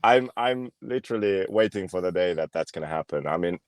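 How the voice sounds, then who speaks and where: A man's voice: low-pitched, lively intonation, a little fast, dry recording, studio quality. A man from Finland co-hosting a casual podcast, laid-back and a bit hesitant, often chuckling. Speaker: podcast co-host. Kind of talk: casual chat.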